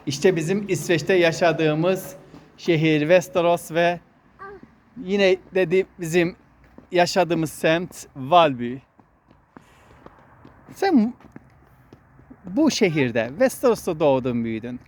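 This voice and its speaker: A man's voice: on the high side, expressive, slow pace, pauses often. A man hosting a casual walking vlog outdoors, explaining things as a father talking to his child and to viewers. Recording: noisy outdoor recording with traffic hum.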